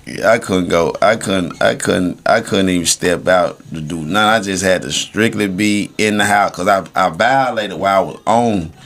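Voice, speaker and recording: A man's voice: deep voice, moderate pace, hardly any pauses, a bit bright. A man with a Memphis Southern accent swapping personal stories in blunt, heavily slangy, profane talk. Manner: blunt, heavy slang and swearing. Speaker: podcast co-host. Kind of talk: casual chat, personal storytelling.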